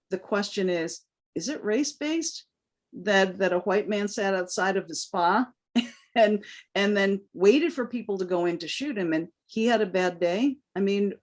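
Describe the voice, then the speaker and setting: A woman from New York whose first language is Cantonese, a guest giving a candid, impassioned interview answer. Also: medium pitch. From New York, United States. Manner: earnest, impassioned.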